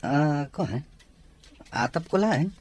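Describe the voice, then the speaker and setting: A man's voice: on the high side, animated, slow, darkish tone, husky, exaggerated voice. A man playing a casual, evasive young employer who is kind to his maid, in an audio drama.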